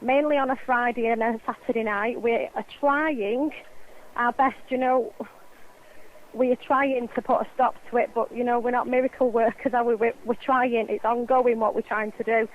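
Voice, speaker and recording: A woman's voice: a little high, fairly even intonation, moderate pace. Englishwoman on a muffled customer service call. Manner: explanatory, insistent, repeats herself. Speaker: supermarket staff taking a complaint call. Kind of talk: customer service phone conversation. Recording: muffled lo-fi phone line.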